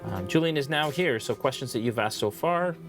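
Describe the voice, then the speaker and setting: A man hosting a live miniature painting tutorial, relaxed and chatty, thinking aloud as he paints. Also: medium pitch, brisk, music in the background.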